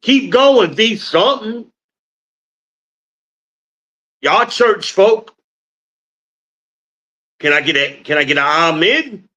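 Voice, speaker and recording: A deep-voiced man from New Jersey hosting a casual livestream, telling stories and calling on the chat like a preacher asking for an amen. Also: expressive, slow pace, bright, forceful tone, muffled recording. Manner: preacher-like call and response with the chat. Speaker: podcast host.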